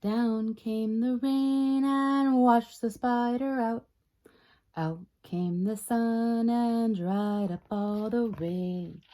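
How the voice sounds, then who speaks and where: A woman reading a picture book aloud as a storytime librarian, expressive and talking directly to young listeners. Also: on the high side. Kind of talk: children's read-aloud narration.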